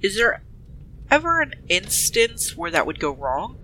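A man's voice: high voice, sing-song, measured pace, pushed and bright, a little hiss or hum, poor audio. A man playing a tabletop role-playing game, improvising casual dialogue with polite, hedging questions.

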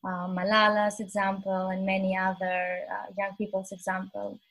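A woman in her twenties from Albania, a guest on a podcast, telling her story in a modest, earnest, soft-spoken way. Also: mid-range voice, level tone, moderate pace, vocal fry, rough recording. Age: 20s. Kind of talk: casual interview conversation.

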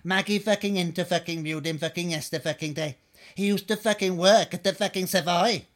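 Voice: mid-range voice, fairly quick, natural voice. An actor playing a harried restaurant boss in an adult comedy sketch, breaking up every phrase with emphatic swearing. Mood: matter-of-fact.

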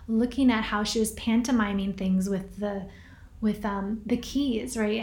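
A woman's voice: fairly high pitch, slight background noise. Rambling woman podcaster in an echoey room. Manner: gentle, rambling, many "right?" and "you know" asides. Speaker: podcast host and workshop leader. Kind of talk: reflective casual talk to listeners. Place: staying in a rented home.

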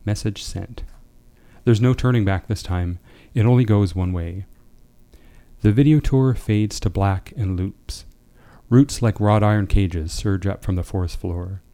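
A man's voice: fairly low pitch, measured pace, mellow. A man speaking as a writer, in an understated way, reading or discussing his own short fiction. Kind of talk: literary reading, then casual discussion.

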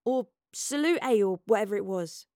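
A woman's voice: mid-range voice, expressive, moderate pace, a little mellow, no echo, studio quality, lightly acted. A woman voicing a defiant young female captive in a story, blunt and colloquial, insistent and indignant. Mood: upset, insistent.

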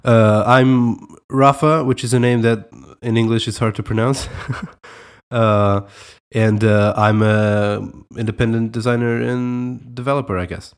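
A man's voice: medium pitch, a little slow, clear, resonant tone. A young man from Portugal with a Portuguese accent, co-hosting a casual podcast chat with easygoing hesitations and laughter. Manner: relaxed, hesitant, laughs often. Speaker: podcast co-host.

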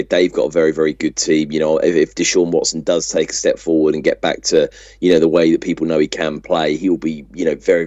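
A man's voice: rumbling low, darkish tone, no room sound. A man co-hosting a sports podcast, giving casual analysis in a conversational way. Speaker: podcast co-host. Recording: slightly dull, muffled audio.

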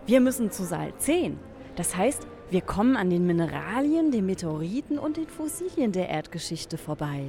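A woman acting a curious, playful and slightly sceptical young companion in a youth radio play, expressive and teasing. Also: lowish voice, moderate pace, soft background music. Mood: delighted. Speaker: actress in an educational audio drama.

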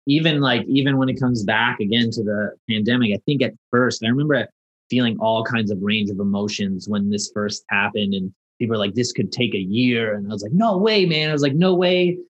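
A man's voice: mid-range voice, brisk, muffled recording. A man in his early thirties hosting a podcast, interviewing in a conversational way and sharing chatty personal anecdotes. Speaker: podcast host.

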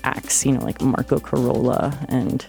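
Halting woman DJ over faint music. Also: very deep voice, gravelly, dark tone. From Florida, United States. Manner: hesitant, many fillers and restarts. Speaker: DJ guest. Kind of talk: casual radio interview. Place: radio studio.

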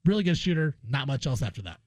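A man's voice: medium pitch, big pitch swings, quick pace, a little mellow, no echo. A man hosting a sports talk radio show, giving opinionated commentary in a conversational way. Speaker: sports radio guest host. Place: radio studio.

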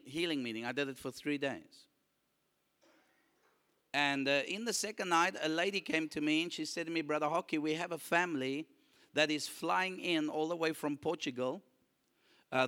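Bright-voiced Afrikaans-speaking man preaching. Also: on the high side. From South Africa. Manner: animated, calls for audience response. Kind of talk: sermon.

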